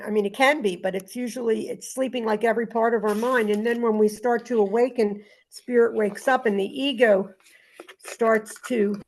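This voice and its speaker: A woman's voice: fairly high pitch, poor audio. A woman leading a spiritual study group, reading aloud and talking things through informally. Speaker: study group reader and discussion leader.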